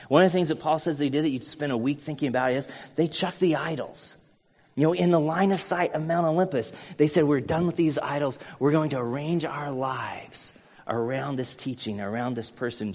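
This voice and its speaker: A man's voice: mid-range voice, darkish tone. A middle-aged American man preaching with an earnest, conversational manner. His voice sits in an ordinary middle register. It rings out with a long hall reverb, as if from a church hall. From United States. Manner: earnest, conversational, with storytelling asides. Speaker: pastor. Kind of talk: sermon.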